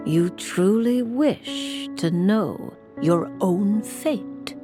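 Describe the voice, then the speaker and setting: A woman playing a mysterious fortune teller with a creaky voice in a video game. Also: medium pitch, drawn-out words, over music. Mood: ominous.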